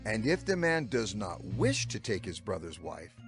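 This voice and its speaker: An actor playing a solemn, commanding old male lawgiver in a dramatized scripture reading, with measured declamation. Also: on the low side, sing-song. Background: background music.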